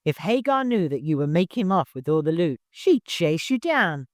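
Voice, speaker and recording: A man's voice: fairly high pitch, very animated, moderate pace, no echo, clean studio sound, voice pushed up. A man voicing a mischievous, talkative old servant in an audiobook, knowing and insistent.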